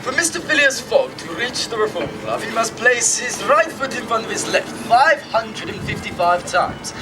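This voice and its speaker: Pompous young stage narrator, high lively voice, distant, outdoor noise. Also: male voice. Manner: theatrical, pompous storyteller, easily exasperated. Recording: distant mic, open-air theatre, noisy.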